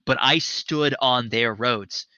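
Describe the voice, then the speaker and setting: A man in his thirties hosts a casual livestream and rambles with eager enthusiasm. His voice is very bright and forward. The audio is dry and a little dull, like a webcam mic. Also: medium pitch, takes it slowly, dry recording. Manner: enthusiastic, rambling, swears for emphasis. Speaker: show host.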